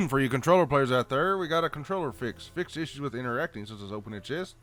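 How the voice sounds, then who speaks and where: A man with a Southern American accent reads update notes aloud in a folksy, halting way, adding off-the-cuff comments. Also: mid-range voice, sing-song, fairly bright tone, faint music behind. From Southern United States. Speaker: gaming channel host.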